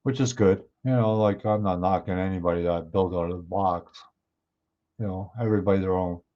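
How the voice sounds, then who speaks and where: A man hosting a livestream, chatting casually with viewers and answering their comments, his voice a bit hoarse. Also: deep voice, fairly even intonation, brisk. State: hoarse, clearing his throat. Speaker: livestream host. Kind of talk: casual chat with live viewers. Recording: somewhat dull, lo-fi stream audio.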